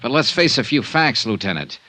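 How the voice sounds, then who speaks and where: A man's voice: mid-range voice, very animated, a little fast, bright, forceful tone, dry recording. An actor playing a wry, tough American insurance investigator who narrates his case in clipped, hardboiled 1950s radio detective style. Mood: irritated, forceful. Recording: noisy old radio drama recording.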